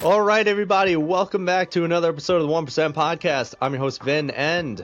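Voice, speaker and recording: A man's voice: fairly high pitch, steady tone, fast. A man hosting a podcast, upbeat and conversational, who asks loose questions. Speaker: podcast host. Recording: dull lo-fi sound.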